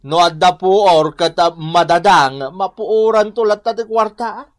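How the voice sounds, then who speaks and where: An actor with an Ilocano accent playing a sly, boastful philandering husband in a radio drama, wheedling and swaggering. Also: male voice, high-pitched, a little slow. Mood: wheedling, scheming.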